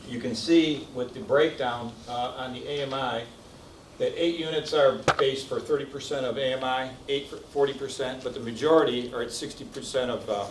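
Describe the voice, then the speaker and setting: A man's voice: medium pitch, moderate pace, noisy background. Man walking through presentation slides. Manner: businesslike with fillers. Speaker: architect presenting. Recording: echoey room, distant mic.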